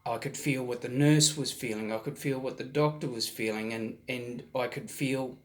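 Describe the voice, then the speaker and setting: A man, hosting his own channel and telling a personal story in a halting way, his voice slightly hoarse from a recent virus. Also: mid-range voice, fairly even intonation. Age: 40s. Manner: halting, many ums and restarts, soft-spoken. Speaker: vlog host.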